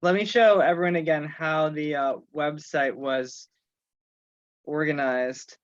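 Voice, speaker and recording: A man with a slightly high voice chairs a casual online meeting. He talks haltingly, working through his thoughts aloud. The sound is dry and a little dull, as on a video call. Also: steady tone, no room sound. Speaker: meeting host and organizer. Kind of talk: informal online meeting talk. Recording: muffled video call audio.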